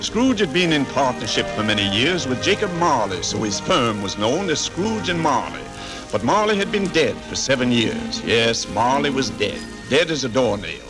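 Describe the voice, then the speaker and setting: Actor as dramatic radio storyteller over music. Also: male voice, mid-range voice, sing-song, moderate pace, close to an everyday voice, low-quality recording. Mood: dramatic, storytelling. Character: storyteller narrator, dramatic and disapproving. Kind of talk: 1940s radio acting, scripted narration.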